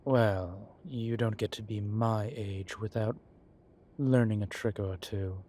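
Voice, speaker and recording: A man playing a courteous, centuries-old vampire in an audio roleplay, speaking in a measured, formal, genteel way with deliberate pauses. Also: lowish voice, fairly even intonation, mellow, a little hiss or hum. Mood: pleasant, reassuring. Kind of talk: scripted audio roleplay addressed to the listener.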